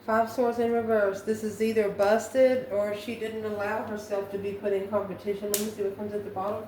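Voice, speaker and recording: A woman giving an improvised spiritual tarot reading to viewers, musing in a halting way and posing questions to herself. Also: medium pitch, level tone, warm tone. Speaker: tarot card reader, channel host. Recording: echoey room, distant mic, faint noise.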